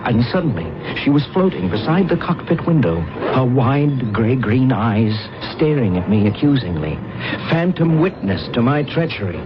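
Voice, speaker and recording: A man's voice: medium pitch, very animated, normal speed, natural voice. Guy playing intense space traitor telling his story, old radio drama, muffled and super noisy. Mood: haunted narration. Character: ruthless, haunted male space pilot, not human, narrating his betrayal.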